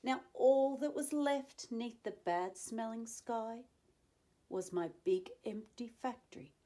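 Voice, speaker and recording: A woman reading a children's story aloud expressively, with dramatic pauses and asides to young listeners. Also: a little high, mellow. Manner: dramatic pauses, drawn-out phrasing.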